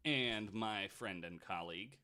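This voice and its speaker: Low-voiced man hosting a casual podcast. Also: measured pace, sharp tone. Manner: loose and chatty, sprinkles in likes and mild swearing. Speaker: podcast host. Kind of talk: casual storytelling chat.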